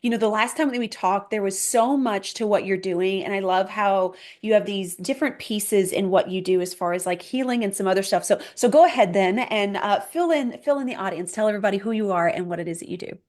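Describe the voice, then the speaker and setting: A woman's voice: medium pitch, brisk, hardly any pauses, darkish tone. A woman hosting a podcast interview, upbeat and enthusiastic. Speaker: podcast host.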